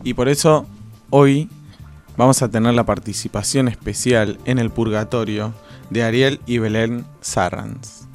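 Man, radio host, very unhurried delivery, medium pitch, faint music behind. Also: fairly even intonation. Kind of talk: radio presenting and interviewing.

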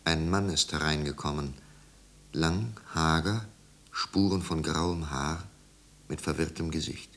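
A man narrating a literary radio drama in a measured, grave and dramatic manner. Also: rumbling low, fairly bright tone.

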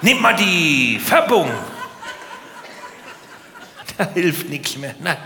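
A man's voice: fairly high pitch, big pitch swings, very slow, gravelly, crowd noise. A man doing stand-up comedy with exaggerated indignation, throwing rhetorical questions at the audience. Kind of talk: stand-up comedy routine with rants. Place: large indoor live comedy venue. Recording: echoey hall, noisy live audience.

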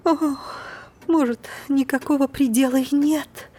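An actress playing an anxious, long-suffering middle-aged aunt in an audio drama, speaking in a fretful, hesitant manner. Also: female voice, high voice, steady tone, a little slow, mellow. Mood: worried, fretful. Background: music.

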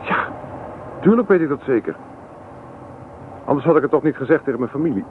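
An actor playing a restless, stubborn young student husband from a farming family in a radio play, sounding insistent and self-justifying. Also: male voice, medium pitch, expressive, a little fast, natural voice. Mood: impatient, dismissive. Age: young adult. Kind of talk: Dutch radio play acting. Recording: noisy, thin, phone-like old radio recording.

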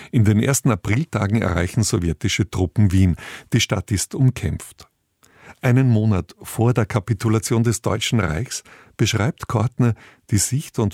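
A man giving a scripted, formal radio introduction in a measured, factual way, as a presenter. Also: on the low side, a little mellow, no echo, studio quality.

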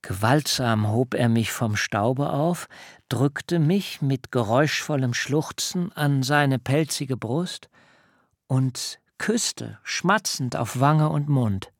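A proud, wary man narrates his own tale in a radio drama. The voice is gravelly and unhurried, recorded dry and close. His phrasing is measured, wry and literary. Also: medium pitch, no room sound. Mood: wry, reserved. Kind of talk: scripted first-person narration in a radio drama.

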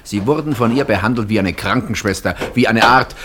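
An actor playing an outspoken, probing brother-in-law in a German radio play, speaking assertively and expressively. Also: male voice, lowish voice, a little fast, a bit bright, a little hiss or hum, lightly acted. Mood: insistent, reproachful. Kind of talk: German radio play acting, naturalistic dialogue.

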